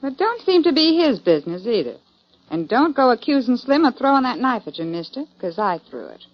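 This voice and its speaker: A woman's voice: on the high side, sing-song, a little fast. An actress playing a tough, defiant cowgirl with an American Western accent, blunt and threatening, in 1940s radio drama. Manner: blunt, challenging. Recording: muffled lo-fi 1940s radio broadcast.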